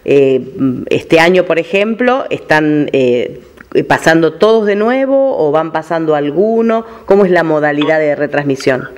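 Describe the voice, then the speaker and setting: Higher-voiced Argentine man interviewing on radio. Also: lively intonation, measured pace, smooth.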